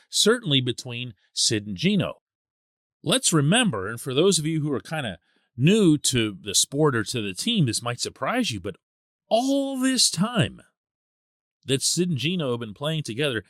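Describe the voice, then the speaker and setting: A man hosting a sports podcast, giving conversational solo commentary with emphatic, deliberate phrasing and dramatic pauses. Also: mid-range voice, fairly bright tone, no echo, clean studio sound.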